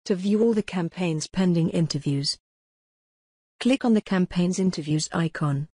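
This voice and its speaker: A woman reading scripted tutorial narration in a measured, impersonal, step-by-step way. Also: lowish voice, dark tone, husky, no room sound, clean studio sound.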